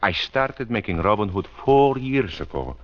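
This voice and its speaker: A harried film producer in 1940s radio style, earnest and businesslike. The voice is fairly low and very lively in pitch, with a muffled vintage sound. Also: male voice, moderate pace. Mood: earnest, worried. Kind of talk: 1940s radio drama acting. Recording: dull old radio recording.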